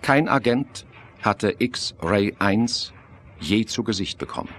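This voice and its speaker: A man's voice: lowish voice, lively intonation, slow pace, fairly bright tone, a little hiss or hum. A man giving scripted, dramatic narration for a German thriller audio drama.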